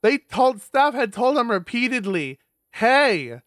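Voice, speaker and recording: A man's voice: fairly high pitch, normal speed, fairly bright tone, no echo, clean studio sound. A man reading a visual novel aloud on a stream, expressively, with casual sweary asides.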